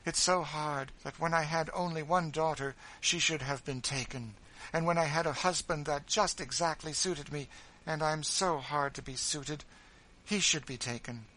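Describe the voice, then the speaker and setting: A man's voice: animated, normal speed, low-quality recording. A man voicing a petulant, self-pitying wealthy widow, speaking sharply in a raised voice. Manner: complaining, sharp, high and raised.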